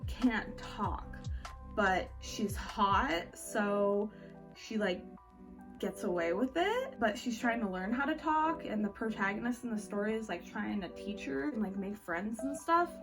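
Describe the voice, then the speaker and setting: A woman's voice: medium pitch, lively intonation, unhurried. A woman giving a casual, chatty review as a vlog host, speaking informally with "like" sprinkled through her sentences. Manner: informal, peppered with "like". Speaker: book reviewer hosting a reading wrap-up vlog. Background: background music.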